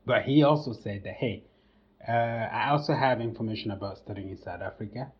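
Cameroonian man, young, low and husky, unhurried interview guest, lo-fi recording. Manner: measured, many "uh" pauses. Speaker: podcast guest. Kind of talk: casual interview storytelling. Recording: dull lo-fi sound.

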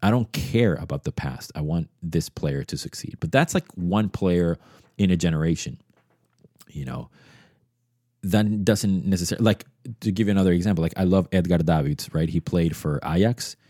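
Deep, mellow-voiced man musing on a podcast. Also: moderate pace, dry recording, clean studio sound. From Venezuela. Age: 30s. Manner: reflective, rambling, frequent restarts and asides. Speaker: podcast host. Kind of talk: casual solo podcast monologue.

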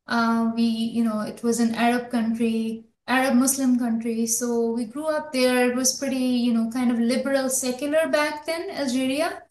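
A woman's voice: a little high, steady tone, normal speed, few pauses, a little mellow. A middle-aged woman from Pakistan, a physician guest recalling her childhood in a rambling way, with many fillers and restarts. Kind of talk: conversational interview answers. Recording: echoey room.

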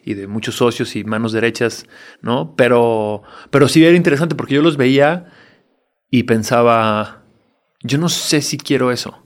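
A Mexican actor in his forties chatting in an interview, relaxed and frank, with slang and frequent tag questions. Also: medium pitch, moderate pace. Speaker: actor and guest. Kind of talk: casual interview chat.

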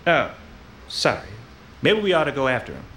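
An actor playing an earnest, bumbling, easily flustered detective's sidekick in a noir radio comedy. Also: male voice, mid-range voice, wildly swooping pitch, moderate pace, a bit bright, a little hiss or hum.

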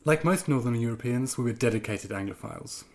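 A man's voice: medium pitch, fairly quick, a little mellow. A man in his twenties or thirties, originally from Germany, answering interview questions hesitantly with many ums and restarts, then reading from his own piece. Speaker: guest writer.

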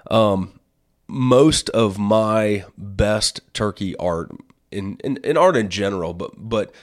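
Male podcast host, 40s, from Illinois, deep and hoarse, rambling casual delivery. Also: takes it slowly. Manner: rambling, with many fillers and restarts. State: hoarse from a cold. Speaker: podcast host, wildlife artist. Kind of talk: casual chat.

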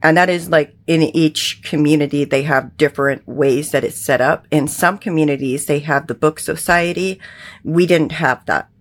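A young adult woman whose first language is Pennsylvania Dutch, giving candid personal testimony as an interview guest in a measured, quietly emphatic way. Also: sits low.

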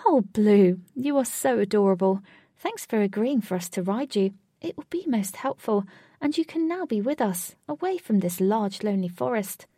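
A woman's voice: mid-range voice, big pitch swings, normal speed, dark tone, dry recording, clean studio sound. A woman voicing a kind, doting young female adventurer in a storybook, tender and coaxing. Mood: affectionate. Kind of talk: storybook character voice.

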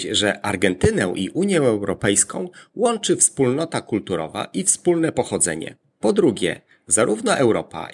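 A man presenting a scripted explainer in a deliberate, point-by-point manner. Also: on the low side, vocal fry, a little hiss or hum.

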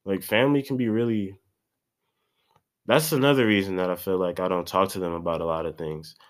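A man's voice: deep voice, fairly even intonation, brisk. A man of about twenty, congested, rambling in a slangy, profane solo podcast monologue. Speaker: podcast host.

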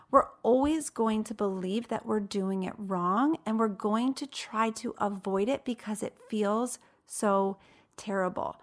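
A woman in her late thirties speaking as a coach and host, giving a motivational talk in an emphatic, conversational way with rhetorical questions. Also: mid-range voice. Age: late 30s.

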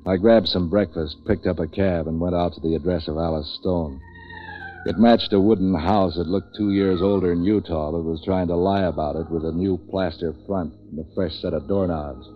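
An actor playing a streetwise, wisecracking man for hire in 1940s radio noir, terse and deadpan. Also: low-pitched, moderate pace, soft background music, close to an everyday voice. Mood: wry, deadpan. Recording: muffled vintage radio recording.

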